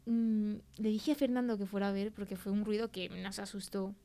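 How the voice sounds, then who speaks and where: A woman's voice: mid-range voice, fairly even intonation, normal speed, background noise, close to an everyday voice. An actress playing a shaken young film-crew woman telling an eerie story in colloquial Castilian Spanish, in a chatty, teasing way. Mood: uneasy, candid. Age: young adult. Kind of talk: conversational interview in an audio fiction podcast.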